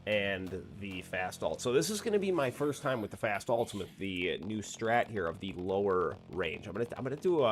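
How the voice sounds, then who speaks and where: A man with a slightly nasal voice chatting casually with viewers while he plays a game, swearing freely. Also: on the low side. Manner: laid-back, swears freely, talks to chat. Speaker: gaming streamer. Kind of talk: casual live-stream chat while playing.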